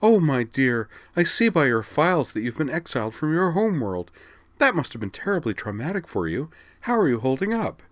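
A man's voice: a little high, big pitch swings, fairly quick, fairly bright tone, no echo, pitched above the performer's own voice. A man voicing a mocking imitation of a condescending woman counselor, syrupy and patronizing. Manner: syrupy, patronizing, mock-sympathetic.

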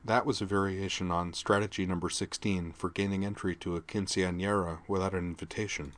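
A man voicing a guarded young woman who speaks quietly and matter-of-factly. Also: steady tone, fairly quick, natural voice. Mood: flat, quiet. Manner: low, matter-of-fact, accusing.

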